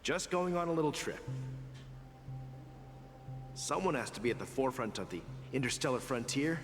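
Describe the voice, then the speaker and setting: An actor voicing a determined veteran space traveler in a video game, earnest and reassuring with a trace of regret. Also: male voice, medium pitch, animated, moderate pace, natural voice. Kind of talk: video game dramatic voice acting. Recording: long hall reverb, loud music underneath.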